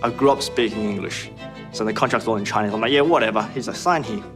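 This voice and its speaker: A man's voice: lowish voice, quick pace, music in the background. A young man, talking candidly in an interview and laughing now and then. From Australia. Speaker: interviewee, former trainee. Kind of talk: casual interview.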